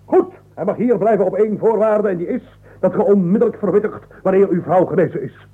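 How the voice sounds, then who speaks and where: A man's voice: high voice, brisk, slightly rough. A man playing a pompous, jovial police commissioner in a comic radio play. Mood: amused, jovial. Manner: pompous, theatrical. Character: jovial, officious male police commissioner in farce. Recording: muffled tinny 1980s radio play recording.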